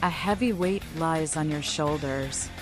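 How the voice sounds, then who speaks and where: A woman's voice: deep voice, unhurried, music in the background. A woman narrating gameplay and speaking cryptic lines for her player character in a theatrical manner. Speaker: gaming creator voicing her player character.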